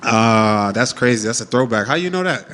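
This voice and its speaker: A man's voice: mid-range voice, animated, moderate pace, fairly bright tone, in a large hall. A man in his thirties who grew up in Jamaica, a fighter answering media questions in a casual, confident, trash-talking way. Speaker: MMA fighter. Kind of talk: media day interview answers.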